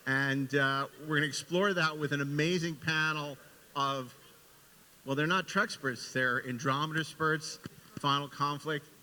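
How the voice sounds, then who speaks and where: A man hosting unscripted, introducing things effusively and enthusiastically, with a halting, filler-heavy delivery. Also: fairly high pitch, fairly even intonation, bright, forceful tone. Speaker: podcast and convention panel host. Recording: echoey hall with long reverb.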